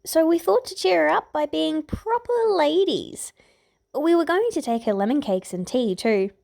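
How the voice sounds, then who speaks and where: A woman's voice: high-pitched, lively intonation, moderate pace, creaky, a little mellow, voice pushed up. A woman voicing a spirited, defiant thirteen-year-old princess with an indignant, girlish manner in a fantasy audiobook. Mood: glum, earnest. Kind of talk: fan fiction audiobook character voice.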